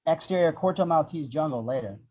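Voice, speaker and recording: A man's voice: a little high, expressive, fairly quick, dark tone. A man reading scripted action descriptions as the narrator of an amateur table read, in muffled audio.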